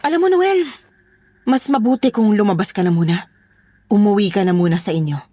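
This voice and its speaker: A woman's voice: medium pitch, brisk, warm tone, a little hiss or hum, natural voice. An actress playing a bossy, secretive, short-tempered female employer with a curt, commanding manner in a horror audio drama. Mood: stern.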